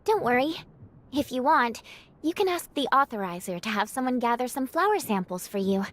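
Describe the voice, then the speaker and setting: A woman playing a gentle, poetic, helpful young woman in an anime-style game. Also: a little high, big pitch swings, brisk.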